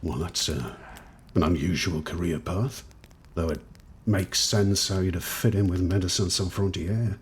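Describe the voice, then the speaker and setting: A man acting as a sceptical, educated character in an audio drama, husky, questioning and wry. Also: sits low, a little slow, slight background noise. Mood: wry, hesitant.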